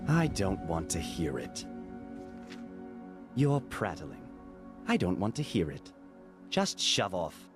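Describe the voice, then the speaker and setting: A man's voice: low voice, big pitch swings, slow, long pauses, over music, exaggerated voice. A man acting a haughty, bitter young novice of a holy order, scornful and pompous, in a fantasy video game.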